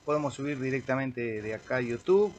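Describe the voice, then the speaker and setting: An Argentine man, a radio amateur slowly talking through an audio editor tutorial while clicking through menus. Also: medium pitch, animated, a little hiss or hum. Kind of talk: screen-recorded software tutorial. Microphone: computer microphone.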